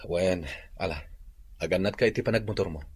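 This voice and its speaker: A man's voice: sits low, fairly quick, slightly rough. An actor playing an earnest young rancher and devoted father in an Ilocano radio drama. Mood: casual, friendly. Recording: noisy recording.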